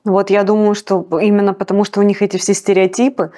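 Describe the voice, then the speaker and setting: A woman's voice: flat delivery, fast, dark tone. Emphatic woman singer, motivational talk. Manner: emphatic, motivational, pitch jumps up on key words. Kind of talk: interview guest.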